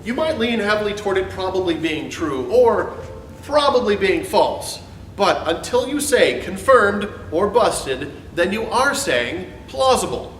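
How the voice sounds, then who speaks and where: High-pitched, theatrical man, very animated. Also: a little slow, recorded from a distance. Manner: theatrical, emphatic, sarcastic delivery. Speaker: host reading his own essay. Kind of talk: dramatic reading of an argumentative script. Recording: roomy, off-mic sound with background music.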